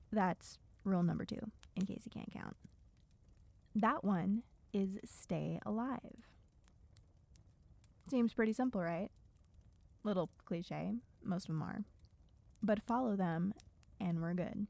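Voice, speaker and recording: Woman acting as guarded dystopian survivor, creaky mellow voice, sardonic, bossy, dry delivery, audio roleplay. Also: mid-range voice, dry recording. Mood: stern, wry. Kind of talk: audio roleplay acting, speaking to a silent listener.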